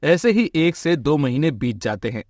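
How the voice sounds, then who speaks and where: A man's voice: fairly high pitch, very animated, normal speed, darkish tone, dry recording. Male storyteller, dry studio sound. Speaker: narrator. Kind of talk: scripted storytelling narration.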